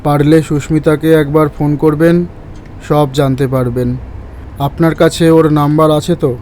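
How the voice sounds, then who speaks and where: Actor as a muted, cryptic taxi driver. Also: male voice, on the high side, little pitch movement, moderate pace, dark tone, a little hiss or hum, lightly acted. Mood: cryptic, resigned. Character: mysterious middle-aged male taxi driver, a student's father. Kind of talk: Bengali audio ghost-story acting.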